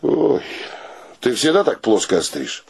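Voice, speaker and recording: An actor playing a sentimental, excitable bachelor friend in a radio play, gushing and moaning theatrically. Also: male voice, medium pitch, measured pace, vocal fry, husky, exaggerated voice. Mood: mournful, peevish. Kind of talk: theatrical radio drama acting.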